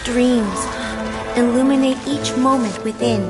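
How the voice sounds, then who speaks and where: Brief: woman voicing a tender deity at her farewell, slow and lofty pitched, game cutscene. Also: music in the background, lightly acted. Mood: solemn. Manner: serene, tender, unhurried. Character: gentle immortal goddess bidding a final farewell. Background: orchestral game score.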